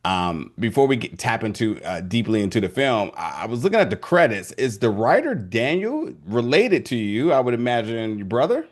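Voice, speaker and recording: A man's voice: mid-range voice, very animated, normal speed, few pauses. A young man hosting a casual video interview, talking loosely with many restarts and fillers.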